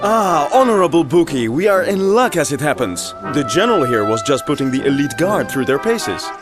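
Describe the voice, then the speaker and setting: A smooth-talking court go-between for a video game. He is courteous and wheedling, with a lightly raised pitch and very lively inflection. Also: moderate pace, over music. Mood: courteous, coaxing.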